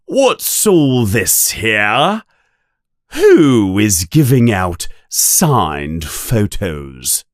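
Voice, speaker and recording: A man voicing a pompous, self-loving celebrity teacher with a booming, bossy manner in a storybook reading. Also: wildly swooping pitch, extremely slow, no echo, clean studio sound, full character voice. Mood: showy.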